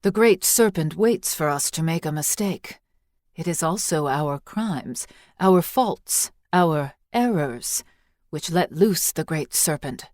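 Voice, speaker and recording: Woman playing a wise old priestess, low-voiced. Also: expressive, no echo, studio quality. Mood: solemn, instructive. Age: older. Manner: measured, instructive, gently teasing.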